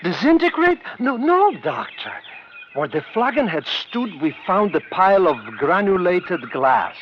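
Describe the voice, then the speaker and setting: An actor playing an intense, earnest foreign chemist in 1950s radio drama, with formal, solemn, stilted phrasing. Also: male voice, high-pitched, very animated, measured pace. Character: intense, earnest foreign male chemist, war-haunted assistant. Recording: dull noisy 1950s radio recording.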